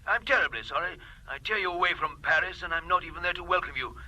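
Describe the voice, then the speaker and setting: An actor playing an apologetic, anxious middle-aged man speaking over the telephone in a radio play, hesitant and halting. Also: high voice, sharp tone, heavily acted, low-quality recording.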